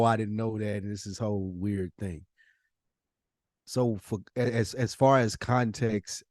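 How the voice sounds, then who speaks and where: A man's voice: fairly low pitch, darkish tone, no echo, studio quality. A man co-hosting a casual podcast conversation, talking in an emphatic, probing way with plenty of slang. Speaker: podcast co-host.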